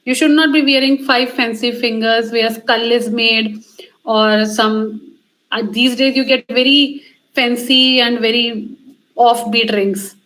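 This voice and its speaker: A woman's voice: fairly high pitch, fairly even intonation, unhurried, fairly bright tone, rough recording. A woman with an Indian accent giving an instructional talk as a trainer, emphatic, repeating her points in short directives. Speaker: communication trainer giving a lecture. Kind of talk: instructional talk on interview skills.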